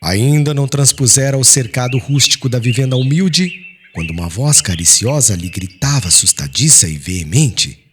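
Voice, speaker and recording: A man's voice: medium pitch, lively intonation, normal speed, slight background noise. A man reading an audiobook as a solemn, formal and dramatic literary narration.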